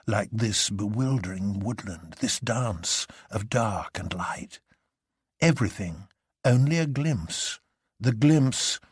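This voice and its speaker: Male audiobook narrator, dramatic and deliberate, slightly husky, very varied intonation. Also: on the low side, no echo, clean studio sound.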